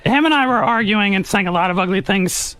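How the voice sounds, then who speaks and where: Young male, fairly high voice, earnest, halting, personal storytelling, radio interview. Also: expressive, bright, forceful tone, clear, resonant tone, no room sound.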